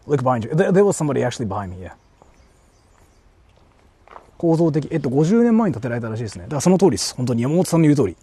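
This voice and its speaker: A young man, walking around outdoors and chatting casually with livestream viewers, often speaking in a hushed, murmuring voice. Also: medium pitch, very animated, rapid-fire, long pauses, breathy edge. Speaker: vlogger streaming while exploring. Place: outdoors walking through an empty housing estate.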